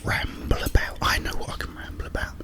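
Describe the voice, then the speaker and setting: A British man hosting an ASMR ramble in a breathy, unvoiced whisper, chatting casually with slow pauses. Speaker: ASMR video host.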